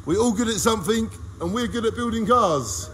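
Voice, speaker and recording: A man's voice: high-pitched, lively intonation, a little fast, a bit bright, slight background noise. Chatty man vlogging at a car wash. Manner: chatty, showman-like, addresses viewers directly. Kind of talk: cheerful vlog commentary. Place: open car wash bay, outdoors. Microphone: phone held at arm's length.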